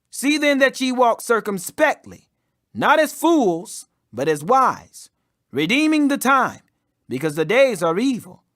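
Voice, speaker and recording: A man with a notably high voice reads scripture for an audio Bible. His intonation is very animated, swinging widely in pitch. He declaims in measured, emphatic phrases. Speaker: scripture reader. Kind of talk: audio Bible reading, King James English.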